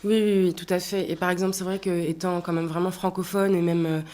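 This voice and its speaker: A woman in her thirties from Tunisia, a singer answering interview questions in a reflective way, pausing often and restarting her sentences. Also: fairly low pitch, flat delivery, a little mellow. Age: 30s. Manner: thoughtful, many hesitations and restarts. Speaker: singer-songwriter guest.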